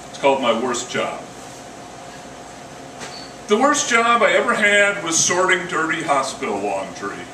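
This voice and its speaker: An older man giving a live poetry reading with casual introductions, in a wry, dryly humorous manner. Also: on the high side. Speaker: poet reading own work. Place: indoor coffee house reading. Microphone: stand microphone, recorded by camera from a distance. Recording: roomy, distant mic, noisy venue.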